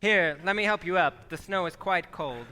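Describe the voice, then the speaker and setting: A man playing a bright-voiced, quaint old angel. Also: high-pitched, moderate pace, slight background noise. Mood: patient, earnest. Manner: quaint, patiently explaining. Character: gentle, naive, very old male guardian angel. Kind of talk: stage radio-play acting in 1940s manner. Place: theatre hall, live performance. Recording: live stage taping in reverberant hall.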